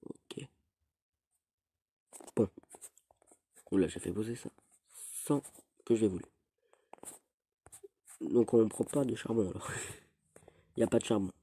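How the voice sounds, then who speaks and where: Stuffy, mellow teen with a cold. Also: male voice, lowish voice, slightly rough. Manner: rambling, self-pitying, halting restarts. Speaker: gaming youtuber. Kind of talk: casual gameplay commentary.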